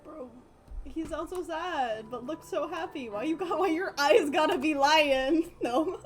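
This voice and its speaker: A woman's voice: sits high, a little slow, music in the background. A woman live streaming a video game, giving casual, playful, slangy commentary. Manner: playful, slangy, reading game text aloud.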